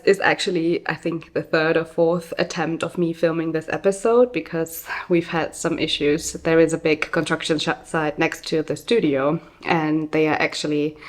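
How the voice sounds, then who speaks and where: A young adult woman from Germany hosting a casual podcast, chatty with lots of fillers, a bit hoarse from a summer cold. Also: low-pitched. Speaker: podcast host and yarn dyer. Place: small studio.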